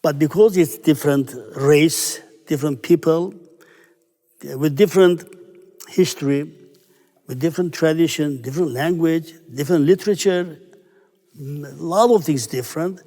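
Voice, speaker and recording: A man in his eighties from Syria, a priest answering interview questions in English, unhurried and searching for words. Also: a little high, dark tone. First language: Armenian. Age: 80s. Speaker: priest, church abbot. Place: large stone church. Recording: long church reverb.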